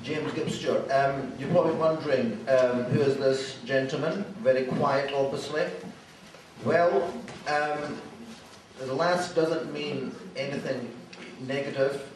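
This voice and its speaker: A man's voice: mid-range voice, steady tone, a little slow, background noise. A Glaswegian man hosting a meeting and introducing speakers, hesitant with many ums and restarts. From Scotland. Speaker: event host. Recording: echoey hall, voice far from mic.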